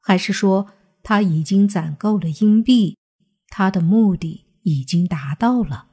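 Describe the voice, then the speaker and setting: A woman narrating a scripted suspense audiobook in a measured, deliberate storytelling manner. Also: mid-range voice, mellow, breathy edge. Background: background music.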